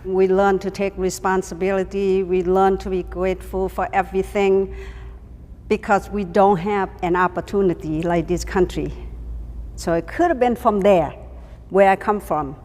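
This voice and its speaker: A woman's voice: mid-range voice, steady tone, moderate pace, darkish tone, long reverb tail. A woman in her sixties from Thailand, answering interview questions in an earnest, emphatic way. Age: 60s. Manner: earnest, emphatic, non-native grammar. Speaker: ballroom dancer, interviewee.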